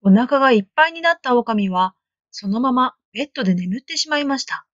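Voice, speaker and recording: Woman storyteller, fairly high voice, gentle narration, dull lo-fi dry sound. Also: a little fast, no room sound. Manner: clear, gentle, expressive narration. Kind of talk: children's storybook read-aloud.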